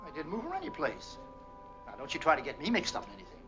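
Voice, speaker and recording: A man's voice: fairly high pitch, huge pitch swings, fast. An actor playing a cranky, evasive male night clerk with folksy, grumbling American speech, in an old 1940s film. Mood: grumpy. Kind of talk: 1940s film noir acting. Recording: old film soundtrack with faint music.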